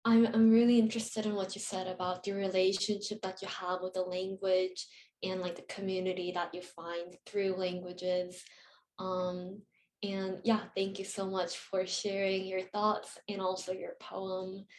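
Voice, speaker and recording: A woman's voice: mid-range voice, flat delivery, unhurried, a little mellow. Young woman host in a roomy space. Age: young adult. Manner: friendly, casual, many fillers like "um" and "like". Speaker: journal host interviewer. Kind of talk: hosting a reading Q&A. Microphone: video call. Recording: roomy video call audio.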